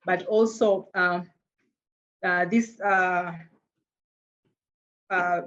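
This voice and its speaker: A woman's voice: on the low side, fairly even intonation, extremely slow, a bit bright, lo-fi sound, a little hiss or hum. A woman from Tanzania with a Tanzanian accent, a native Swahili speaker, answering earnestly as a panelist and often repeating words as she searches for them. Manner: earnest, hesitant with frequent repeated words and fillers.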